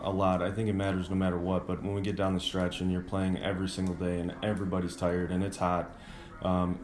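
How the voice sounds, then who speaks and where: Man, baseball manager, low pitch, monotone, halting casual interview answers, roomy echo. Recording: roomy, echoing sound.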